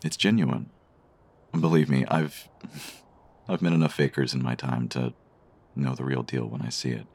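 A man acting a shy, smitten stranger in an audio romance, speaking haltingly and tenderly with a husky, gravelly voice. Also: a bass voice, fairly even intonation, exaggerated voice. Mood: earnest, admiring. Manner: halting, hesitant, soft-spoken.

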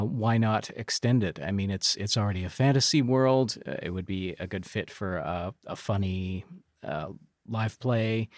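A man with a slightly low voice chats as an interview guest. He explains things in a hesitant way, pausing and restarting to find the word, and the sound is dry with little room. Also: no room sound. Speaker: podcast producer and guest. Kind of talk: casual interview chat.

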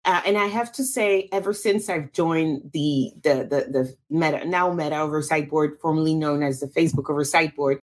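A woman's voice: low-pitched, normal speed, few pauses. A woman from Cameroon, a guest in an interview, answering thoughtfully with frequent restarts and fillers.

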